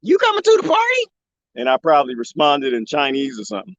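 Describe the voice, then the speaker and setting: A man live streaming from the desert, joking and rambling in a loose, animated way. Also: on the high side, fast, no room sound, lo-fi sound. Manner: animated, joking, imitates bird chirps. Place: outdoors in the desert.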